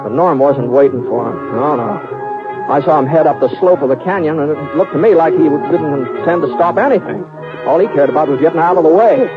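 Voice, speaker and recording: An actor as a folksy drawling cowhand narrator. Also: male voice, fairly high pitch, fairly quick, natural voice. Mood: calm. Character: easygoing adult male cowhand telling his tale. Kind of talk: 1950s radio drama narration and acting. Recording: tinny lo-fi old radio broadcast. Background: music.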